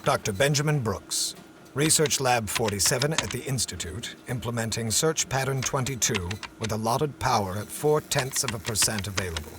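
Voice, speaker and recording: A man's voice: mid-range voice, moderate pace, background noise, natural voice. A man playing an obsessive, grandiose scientist in an audio drama. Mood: bitter, declaiming. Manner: theatrical, grandiose. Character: obsessive, melodramatic male scientist seeking other worlds. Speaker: actor.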